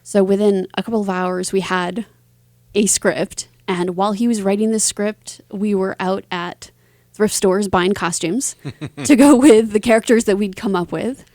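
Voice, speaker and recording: A woman's voice: mid-range voice, fairly even intonation, measured pace, a little mellow, no echo, studio quality. A woman talking casually as an interview guest, explaining things thoughtfully in long linked phrases. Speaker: interview guest, singer, author and voice actor.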